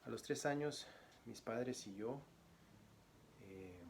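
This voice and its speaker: A man from Guatemala, a church deacon, introducing himself as a guest preacher and reading scripture, speaking softly with many pauses. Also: medium pitch, vocal fry, slight background noise. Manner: soft-spoken, frequent pauses and fillers; louder, declamatory when reading scripture.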